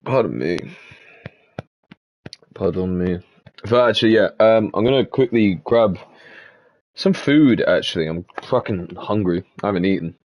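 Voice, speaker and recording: A man's voice: on the low side, lively intonation, measured pace, pauses often, a little mellow, highs cut off. A man chatting casually on a live stream, muttering to himself as he works and swearing now and then.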